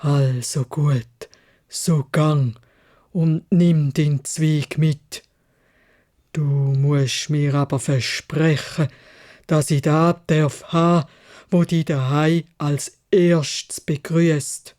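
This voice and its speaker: A woman voicing a grim, wrathful fairytale beast with a hoarse, croaking voice and a Swiss German accent, menacing and commanding. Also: low-pitched, steady tone, very slow, dark tone, pitched below the performer's own voice. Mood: grudging, demanding. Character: grim, wrathful beast guarding its castle roses.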